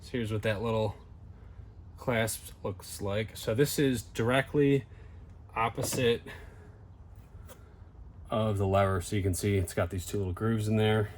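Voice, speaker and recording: A man's voice: fairly low pitch, slight background noise. A man casually narrating a hands-on DIY job as he works, thinking aloud in a tentative way. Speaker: DIY video host.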